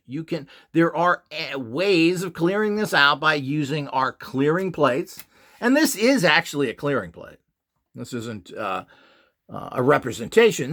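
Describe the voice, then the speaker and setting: Man, slightly high voice, very lively intonation, opinionated, emphatic, informal lecturing. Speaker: instructor and seller of esoteric devices and courses. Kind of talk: informal product explainer talk.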